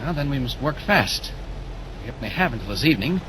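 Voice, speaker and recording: Confident crusading newsman with a secret heroic side male actor, 1940s radio style, urgent and animated, clear background noise. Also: mid-range voice, brisk, lightly acted. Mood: determined. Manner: assured, crisp, urgent. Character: confident, determined male reporter who is secretly a superhero. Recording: noisy old 1940s radio broadcast, vehicle noise.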